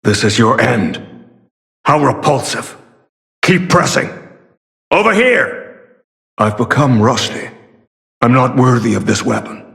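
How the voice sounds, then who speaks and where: An actor voicing a grave, courteous, weary warrior in a video game, with formal, measured delivery. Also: male voice, medium pitch, expressive, creaky, a bit bright, exaggerated voice. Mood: bitter, grim, then urgent battle calls. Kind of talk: dramatic video game voice acting.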